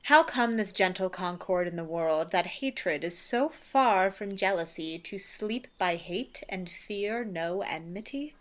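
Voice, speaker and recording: A woman's voice: mid-range voice, a little slow, few pauses, fairly bright tone, natural voice. A woman playing a commanding, gracious noble ruler in a Shakespearean radio play.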